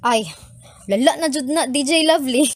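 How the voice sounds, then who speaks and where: A woman's voice: high-pitched, moderate pace, no echo, faint music behind, natural voice. A woman playing a giggly, earnest student calling a radio show, cheeky and a little hesitant, with a Filipino accent. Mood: earnest, thoughtful. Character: young female student caller, giggly, earnest, opinionated. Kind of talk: acted call-in conversation.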